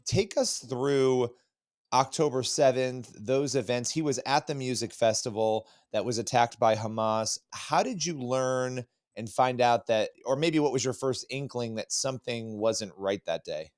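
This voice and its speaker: A man hosting an interview podcast, speaking earnestly, his voice slightly hoarse. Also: medium pitch, unhurried. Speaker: podcast host.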